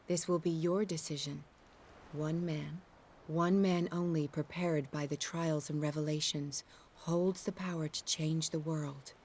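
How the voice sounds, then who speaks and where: A low-pitched female prophet speaks with solemn, cryptic fervor. Her delivery is measured and theatrical, as in a video game cutscene, with clear ambient noise behind her. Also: a little mellow. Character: fervent female prophet revealing cosmic truth to a chosen seeker.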